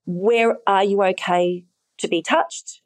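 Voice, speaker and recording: A woman's voice: mid-range voice, steady tone, takes it slowly, dry recording. Frank woman explaining her work plainly. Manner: frank, explanatory, laughs easily. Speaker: intimacy coordinator. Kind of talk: casual interview chat.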